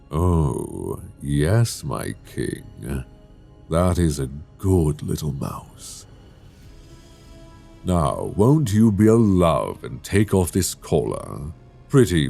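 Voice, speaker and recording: A man's voice: a little mellow, full character voice. A man playing an imprisoned fallen king in an intimate ASMR roleplay, possessive, menacing and tenderly doting, with a seductive, regal, condescending manner on a close binaural microphone. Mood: possessive, commanding, then coaxing, devoted. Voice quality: very deep, gravelly.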